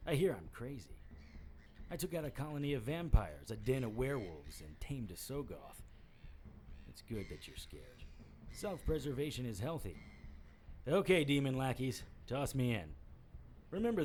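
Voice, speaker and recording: A man's voice: medium pitch, a little mellow, a little hiss or hum, close to an everyday voice. A man playing a wisecracking, defiant private investigator in an audio drama, with a cocky, sardonic delivery. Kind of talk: audio drama acting with first-person noir narration.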